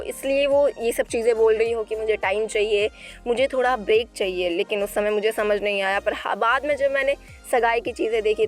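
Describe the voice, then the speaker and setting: High-voiced woman recounting celebrity gossip. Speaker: entertainment gossip presenter. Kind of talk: informal celebrity news narration.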